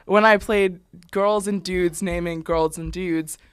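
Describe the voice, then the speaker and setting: A young woman in casual chat as a game-show contestant, animated, exclamatory and bantering. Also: fairly low pitch, slow pace, clear, resonant tone. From South Florida, United States. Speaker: guest contestant on a sports talk show.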